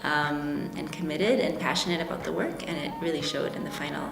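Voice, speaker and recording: A woman reflecting on a project in an interview, talking in an animated way. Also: deep voice, fairly quick, creaky. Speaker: project team member. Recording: roomy echo, faint music behind. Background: soft music.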